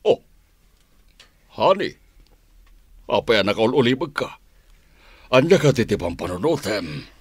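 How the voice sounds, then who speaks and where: An actor with a Filipino accent playing a smug, scheming crime boss with his sweetheart in a radio drama, self-assured and expressive. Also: mid-range voice, measured pace, long pauses, breathy edge, dry recording, clean studio sound.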